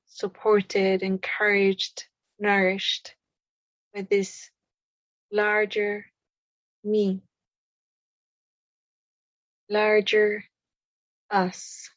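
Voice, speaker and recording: A woman guiding a meditation in gentle, deliberate short phrases with long pauses between them. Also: medium pitch, monotone, no echo, lo-fi sound. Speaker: meditation guide. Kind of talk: guided meditation, spoken slowly.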